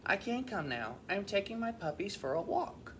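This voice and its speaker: Woman as a brisk, friendly storybook mother dog. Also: mid-range voice, very animated, a little hiss or hum, pitched above the performer's own voice, lightly acted. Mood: apologetic, busy. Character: friendly, busy female farmyard dog, proud mother.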